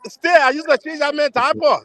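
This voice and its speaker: A man chatting casually as a show guest in an excitable, effusive way. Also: very high-pitched, a little fast, no room sound. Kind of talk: casual chat.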